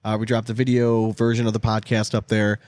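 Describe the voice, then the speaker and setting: A man's voice: on the low side, level tone, a little fast, darkish tone, dry recording. A man hosting a podcast with casual, bro-ish banter and some swearing. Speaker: podcast host.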